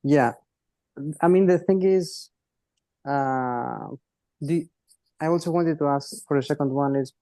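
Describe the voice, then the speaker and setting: A man with a Greek accent asking a question on a group support call, hesitant and halting with many restarts. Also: on the high side, mellow, dry recording. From Greece.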